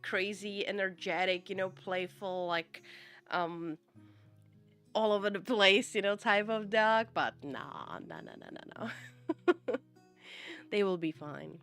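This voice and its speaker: A woman chats unhurriedly with her stream viewers, drawling and in no rush. She rambles through her thoughts, padding them with fillers, and breaks into laughs often. Soft music plays faintly behind her. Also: mid-range voice, slow pace, long pauses. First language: Czech. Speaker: live streamer. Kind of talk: casual chat with viewers.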